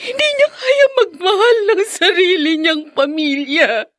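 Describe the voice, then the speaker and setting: A woman's voice: very high voice, unhurried, fairly bright tone, dry recording, clean studio sound. An actress playing an anguished young wife and mother in a radio drama, tearful and pleading. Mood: tearful, desperate. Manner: tearful, pleading, emotionally overwrought. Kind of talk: Filipino radio drama acting.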